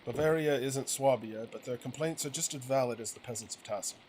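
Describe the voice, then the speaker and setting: A man's voice: mid-range voice, a little fast, lightly acted. A man voicing a weary, resentful printer and father from a video game, curt, firm and reproachful, with a righteous streak. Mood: earnest, righteous. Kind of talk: acted reading of video game dialogue. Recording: clearly noisy game ambience behind voice.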